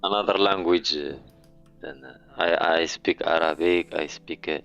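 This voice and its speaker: A man's voice: low voice, steady tone, moderate pace, fairly bright tone, clean tone, faint music behind. A man from Morocco, speaking English as a guest in a casual chat and searching for words. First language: Amazigh.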